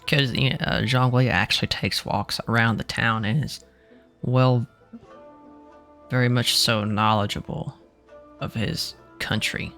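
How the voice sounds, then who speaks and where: A man's voice: medium pitch, steady tone, measured pace, pauses often, a bit bright. A man giving casual gameplay commentary as he plays. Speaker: gamer. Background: game music.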